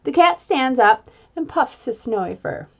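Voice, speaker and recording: A woman's voice: high-pitched, a little slow, vocal fry. Woman reading a children's story aloud. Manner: expressive, playful storytelling with sound effects. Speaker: reader. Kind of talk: children's book read-aloud.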